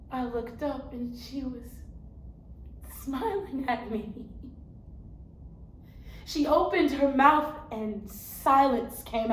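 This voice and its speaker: An actress performs a weary woman turned angel, baring her soul in a stage monologue. Her fairly high voice moves slowly and deliberately, with heartfelt weight. The room rings around her. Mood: hushed wonder. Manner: slow, heartfelt, deliberate delivery. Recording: roomy echo, faint background noise.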